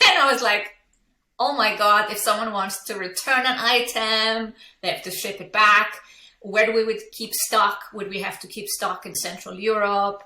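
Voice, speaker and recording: A woman's voice: medium pitch, pushed and bright, roomy sound. A woman from Iceland, a business coach and entrepreneur, telling her story candidly in an interview, with frequent fillers and restarts.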